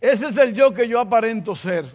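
High-voiced Dominican preacher in a hall. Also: male voice, normal speed, darkish tone. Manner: playful, repeats phrases for emphasis. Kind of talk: sermon with jokes.

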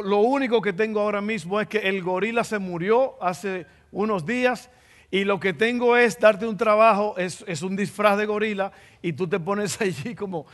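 A preacher doing a blunt zoo manager. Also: male voice, high voice, a bit bright.

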